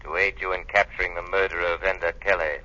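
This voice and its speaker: Man acting a deep, piercing mysterious avenger. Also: flat delivery, bright, forceful tone. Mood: grave, ominous. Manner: authoritative, formal, deliberate warnings. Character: mysterious, commanding crime-fighting avenger, grave and ominous. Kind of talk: old-time radio drama acting. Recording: dull, lo-fi radio recording.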